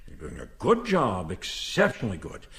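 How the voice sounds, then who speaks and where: A fussy, lively-voiced theater director. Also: male voice, fairly low pitch, normal speed, vocal fry, slightly rough, exaggerated voice. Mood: conciliatory. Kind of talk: radio mystery drama acting.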